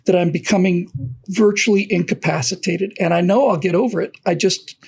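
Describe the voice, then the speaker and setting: A middle-aged man on a casual video call, husky-voiced, halting and earnest, often repeating words. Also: sits high. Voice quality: husky, thin voice. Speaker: co-host. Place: home office.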